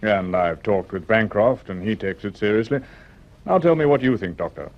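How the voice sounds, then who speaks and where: Shrewd British minister, lively intonation, dull recording. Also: male voice, on the low side. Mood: probing. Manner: deliberate, probing, clipped phrases. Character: quiet, shrewd, aristocratic male government minister. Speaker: actor. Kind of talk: British radio serial drama acting.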